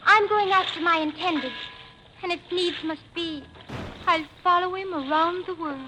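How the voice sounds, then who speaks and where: Genteel, resolute lady, very high voice, noisy vintage radio drama. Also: normal speed. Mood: polite, resolute. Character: determined, genteel lady traveller seeking her fiance. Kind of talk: classic radio play acting. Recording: noisy old radio recording.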